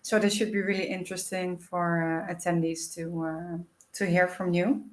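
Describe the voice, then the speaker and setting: A woman's voice: fairly low pitch, flat delivery, moderate pace, roomy sound. A woman hosting an informational webinar, explaining things in a friendly way with frequent "uh" and "um". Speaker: webinar host.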